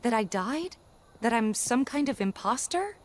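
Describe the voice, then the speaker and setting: A woman's voice: on the high side, expressive, a little slow. An actress playing a guarded, earnest young woman in a naturalistic video game cutscene. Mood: defensive, indignant. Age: young adult. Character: young woman, earnest, guarded, hurt and defensive childhood friend.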